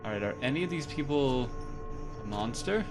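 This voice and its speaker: Gamer dude chatting thru a horror game, lively voice, music going behind. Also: male voice, mid-range voice, moderate pace. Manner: chatty, self-mocking, talks to the game character. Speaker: let's play gamer. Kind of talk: casual game commentary.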